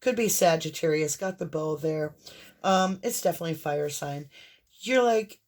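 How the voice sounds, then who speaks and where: A woman with a hoarse voice and a mixed Southern and Northern American accent, giving a casual, rambling tarot reading straight to the viewer. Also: deep voice, moderate pace, darkish tone. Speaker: tarot reader.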